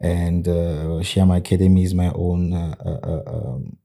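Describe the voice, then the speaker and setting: A man's voice: sits low, level tone, normal speed, gravelly, dark tone. A young man from Congo, guest on a studio podcast, talking casually about himself with many fillers and restarts. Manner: hesitant, many fillers and restarts, laughs easily. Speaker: podcast guest, football coach. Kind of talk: casual interview.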